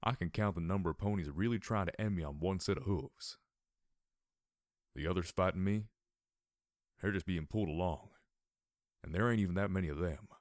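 A man voicing a gruff, weary old male pony with a folksy, taunting manner, in an audiobook-style story. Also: deep voice, moderate pace, pauses often, no room sound, clean studio sound, natural voice. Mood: bitter, defensive.

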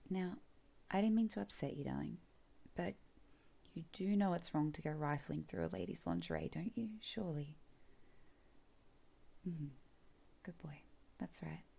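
A low, husky voice with a gravelly edge, a woman acting as a teasing, commanding mother in an audio roleplay. She coaxes softly and slips into whispers, heard up close on the mic. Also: big pitch swings, normal speed, long pauses, heavily acted. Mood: soft, coaxing. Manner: soft, coaxing, often whispered.

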